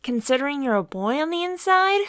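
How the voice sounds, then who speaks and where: A perky, eager young woman who pushes her friend along in a comic-dub story, as a woman reader puts her on. Her voice rides higher and brighter, keen and chatty. The recording is dry and close. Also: sing-song, a little fast, no echo. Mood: upbeat. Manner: upbeat and eager, high bright delivery.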